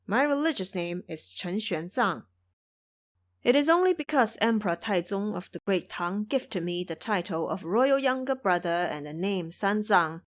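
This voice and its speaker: A woman voicing a courteous male Buddhist monk, formal, humble and measured, in an audio drama. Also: mid-range voice, natural voice.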